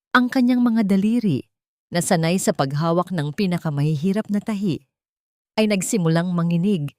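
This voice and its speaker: Low-pitched woman narrating a story. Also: darkish tone, dry recording, clean studio sound. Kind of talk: scripted dramatic storytelling narration.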